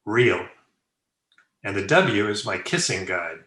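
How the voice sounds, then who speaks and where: A man's voice: fairly low pitch, expressive, takes it slowly, a bit bright. A man playing a suave, flippant bachelor playboy in an old-time radio comedy, breezy and self-satisfied. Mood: smug, teasing. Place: indoor room, remote video call. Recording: roomy echo on home video-call recording.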